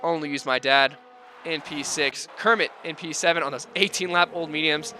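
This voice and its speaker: A man commentates a sim race with an upbeat, folksy energy. His voice is bright and a little sore from talking, and faint music plays underneath. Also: on the high side, moderate pace.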